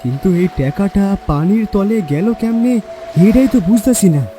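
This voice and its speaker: A man's voice: sits high, expressive, normal speed, dark tone, soft background music, voice pushed up. A man voicing an honest young village boatman in an audio horror story, earnest and emphatic, with a rural Bangladeshi accent. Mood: bewildered.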